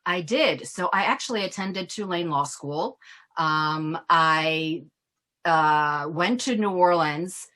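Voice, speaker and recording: A middle-aged woman from Ghana, an immigration attorney answering questions in an earnest, thoughtful way with frequent pauses. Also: fairly low pitch, pushed and bright. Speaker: immigration attorney, guest speaker. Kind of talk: Q&A conversation.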